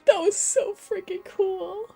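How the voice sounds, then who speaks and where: A woman's voice: very high voice, steady tone, slow, vocal fry, warm tone, soft background music. A woman gaming streamer giving playful, effusive commentary and reading game text aloud. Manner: effusive, playful, cooing over characters.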